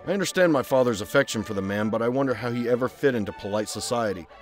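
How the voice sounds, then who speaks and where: A man voicing a brooding, haunted young gentleman heir in a motion comic, formal, solemn and deliberate. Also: mid-range voice, animated, faint music behind, lightly acted. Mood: reflective. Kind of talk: dramatic motion-comic acting and diary narration.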